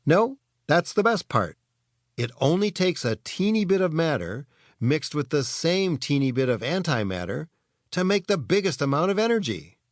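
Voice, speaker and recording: Storybook boy inventor, read by a man: eager, emphatic explainer with very animated pitch, closely recorded. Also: moderate pace, no room sound, clean studio sound. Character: earnest, excitable boy inventor explaining science.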